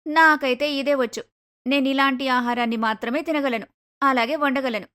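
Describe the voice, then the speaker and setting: A woman playing an arrogant, lazy young daughter-in-law who talks back curtly in an animated moral story. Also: high-pitched, moderate pace. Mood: dismissive. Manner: curt, dismissive, argumentative.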